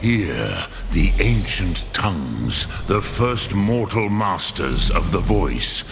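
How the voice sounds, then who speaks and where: An ancient, wise dragon for a fantasy game, extremely low and very slow. He is solemn and patient, pondering between phrases in a heavy gravelly growl. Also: big pitch swings, noisy background, full character voice. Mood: solemn, musing. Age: older. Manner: slow, ponderous, musing with long pauses. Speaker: mentor character in a fantasy game. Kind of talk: scripted fantasy game dialogue.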